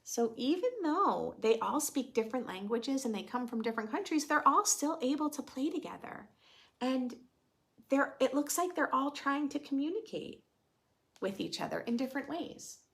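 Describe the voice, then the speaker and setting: Woman, children's read-aloud host, unhurried pace, slightly creaky voice, gentle, simple explanations for preschoolers. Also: fairly high pitch. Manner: gentle, expressive, addressing young children with questions. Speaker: storytime host reading a picture book aloud to preschoolers.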